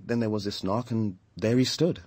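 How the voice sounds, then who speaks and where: An actor playing an earnest, troubled British activist, confiding and insistent, in a radio drama. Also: male voice, lowish voice, normal speed, darkish tone, no room sound, natural voice. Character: earnest, troubled male anti-nuclear activist living in a caravan.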